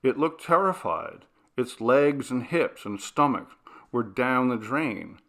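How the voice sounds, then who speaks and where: Male audiobook narrator for horror fiction, bright forceful tone with gravelly creak, expressive and deliberate. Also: medium pitch. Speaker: narrator reading a horror short story.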